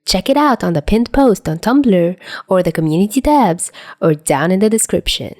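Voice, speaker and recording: Mellow, lively female story narrator. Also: mid-range voice, normal speed, no room sound, studio quality. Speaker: podfic narrator. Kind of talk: expressive audiobook-style story narration.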